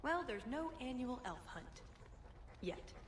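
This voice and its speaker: A woman's voice: high voice, very animated, takes it slowly, roomy sound, long reverb tail, slight background noise, heavily acted. A woman playing a knowing, playful huntress and guide in a video game.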